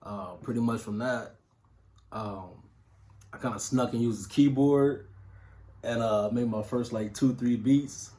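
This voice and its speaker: A man's voice: medium pitch, fairly even intonation, unhurried, pauses often, warm tone, a little hiss or hum. A man in his thirties from Ohio, a music producer answering interview questions in a loose, casual way with plenty of fillers and slang. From Toledo, Ohio. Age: 30s.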